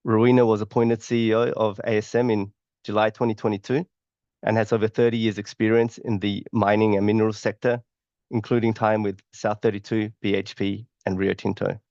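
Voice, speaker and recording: Low-voiced man moderating a webinar. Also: little pitch movement, fairly quick, a little mellow, no echo. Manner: reads bios with small slips. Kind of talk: introducing speakers. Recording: dry, dull remote webinar audio.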